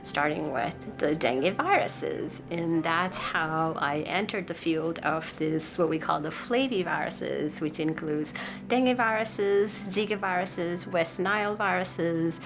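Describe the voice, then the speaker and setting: A middle-aged woman from Nepal, a researcher, explaining her work in a measured documentary interview. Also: fairly low pitch, over music. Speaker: immunology researcher.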